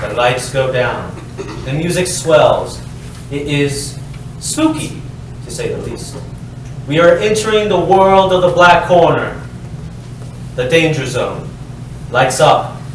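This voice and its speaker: A man reading stage directions as scripted narration, with dramatic, deliberate phrasing. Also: fairly high pitch, expressive. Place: live staged reading, echoey indoor space. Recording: echoey room, distant mic, faint noise.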